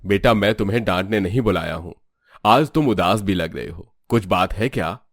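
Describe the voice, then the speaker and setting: A man's voice: low-pitched, normal speed, dry recording, clean studio sound. A man playing a kindly, encouraging school principal with reassuring authority in a storybook drama. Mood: concerned. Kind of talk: storybook audio drama acting.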